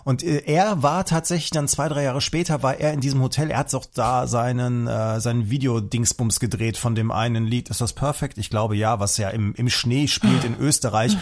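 A man's voice: medium pitch, fairly even intonation, normal speed, hardly any pauses. A man co-hosting a podcast in casual chat, telling stories and teasing the others. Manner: storytelling, teasing. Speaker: podcast co-host.

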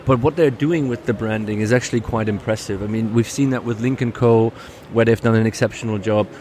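A man's voice: on the low side, fairly even intonation, brisk, a little mellow. A man casually talking through a motor show visit, hesitant and full of repeats. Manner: hesitant, lots of fillers and repeats. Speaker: car show reviewer. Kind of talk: casual walkaround chat. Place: busy motor show hall. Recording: noisy show floor.

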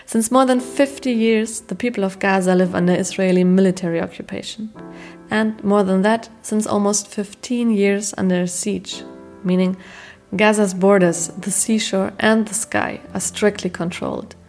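A woman reading a scripted news narration in a measured, informative way. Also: mid-range voice, steady tone, darkish tone, soft background music. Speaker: documentary narrator.

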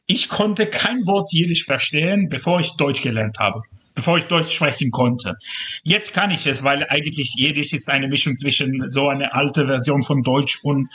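A man's voice: medium pitch, normal speed, pushed and bright. Israeli man, slightly muffled recording. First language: Hebrew. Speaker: interview guest, journalist. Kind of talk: casual podcast conversation.

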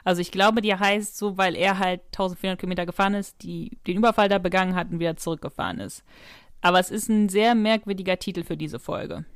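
A woman's voice: lowish voice, fairly even intonation, fast, dry recording, studio quality. A woman co-hosting a podcast, discussing a story in casual conversation. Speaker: podcast co-host. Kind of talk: casual chat discussing an audio drama episode.

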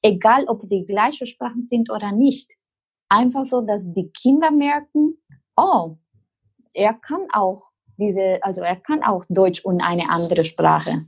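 Slow, gravelly woman with Spanish accent. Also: medium pitch. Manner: explains slowly with many fillers and restarts. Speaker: guest. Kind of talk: interview answer.

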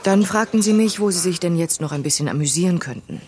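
A woman playing a weary waitress recounting her past in measured, bitter tones in a German audio drama. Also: fairly low pitch, expressive, a little mellow, slightly rough. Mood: disgusted, weary. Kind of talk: audio drama narration and dialogue.

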